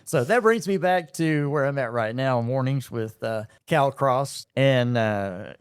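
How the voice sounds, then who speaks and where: Middle-aged radio host in casual storytelling. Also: male voice, medium pitch, a little slow, smooth, no echo, clean studio sound. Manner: relaxed storytelling with frequent fillers. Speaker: radio morning show host and operations manager. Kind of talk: casual interview chat.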